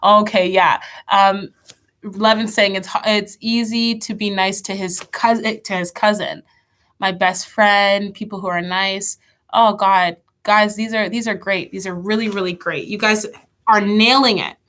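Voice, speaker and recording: A woman's voice: mid-range voice, fairly even intonation, measured pace, a bit bright. A woman leading an online children's church lesson, chatty and encouraging, with a stuffy nose from allergies. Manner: chatty, encouraging, reads out kids' comments.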